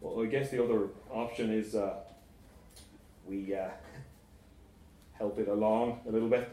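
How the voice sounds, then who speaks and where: A man's voice: lowish voice, steady tone, a little slow, dark tone, close to an everyday voice. A man voicing a smirking, callous young man in a short story, dismissive and practical. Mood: coaxing. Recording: roomy, echoing sound.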